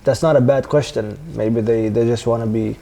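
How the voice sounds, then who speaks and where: Casting: man, 30s, from Sweden, warm dark tone, candid and reflective sit-down interview. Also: medium pitch, fairly even intonation, normal speed, gravelly. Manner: earnest, reflective, frequent restarts. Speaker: guest, football player. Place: dim studio lounge with sofa. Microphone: lapel mic.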